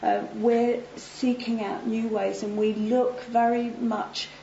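Croaky woman recovering from laryngitis. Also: on the high side, little pitch movement, slow, dark tone, echoey room, dull audio, a little hiss or hum. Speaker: panel speaker, nurse turned health manager. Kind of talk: conference panel talk. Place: conference hall.

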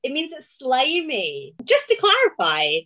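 Glaswegian woman, academic guest, chatty and animated, with a slightly high voice. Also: a little slow. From Scotland. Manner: chatty, animated, laughs often. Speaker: classics researcher, guest. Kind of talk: conversational podcast interview.

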